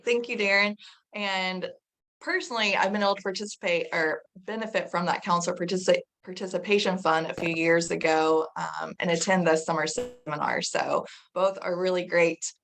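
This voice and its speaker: A woman's voice: fairly low pitch, steady tone, moderate pace, gravelly, a bit bright, poor audio. A woman moderating a webinar on a video call, reading out a speaker's bio.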